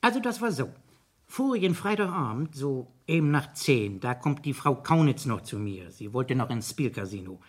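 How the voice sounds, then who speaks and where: A man's voice: medium pitch, lively intonation, moderate pace, breathy edge. An actor playing a chatty, folksy building caretaker telling his account in a 1970s German radio crime drama. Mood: eager, matter-of-fact. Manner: folksy, storytelling, colloquial. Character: chatty, simple male building caretaker giving testimony.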